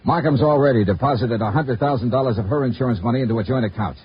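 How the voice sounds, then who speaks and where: An actor playing a shrewd, dogged insurance investigator in a 1950s radio noir drama, terse and matter-of-fact. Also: male voice, mid-range voice, fast talker, slight background noise, close to an everyday voice. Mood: grim, insistent. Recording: muffled vintage radio recording with music.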